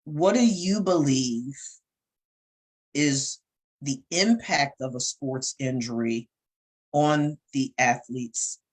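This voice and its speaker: A woman's voice: very deep voice, slow pace, long pauses, husky. A middle-aged woman co-hosting a casual talk show, teasing and laughing often as she chats. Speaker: co-host, counseling coach. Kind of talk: casual podcast chat.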